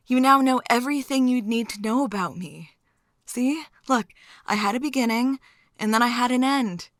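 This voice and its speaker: A woman's voice: a little high, a bit bright, dry recording, studio quality. A woman acting a chatty, philosophically morbid date in an intimate ASMR roleplay, musing and teasing as she rambles to the listener.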